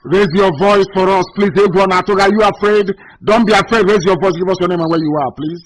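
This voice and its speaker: A man hosting a live call-in radio show, talking forcefully and repeating himself as he urges callers on. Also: high-pitched, steady tone, fast, low-quality recording. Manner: forceful, repetitive, urging callers on. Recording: faint noise, live radio stream.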